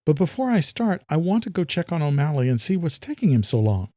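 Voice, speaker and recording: A woman's voice: low voice, sing-song, brisk, mellow, no echo, studio quality, voice pushed up. A man narrating a story, voicing a tense young woman cop who is exasperated and pleading with her mother. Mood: warm.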